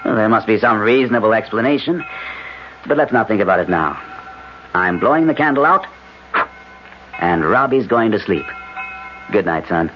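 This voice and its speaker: A man's voice: on the low side, animated, moderate pace, long pauses, noisy background, close to an everyday voice. An actor playing a skeptical, exasperated husband and father in a radio drama, firm and dismissive. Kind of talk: 1970s radio drama acting. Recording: muffled 1970s radio recording, faint music.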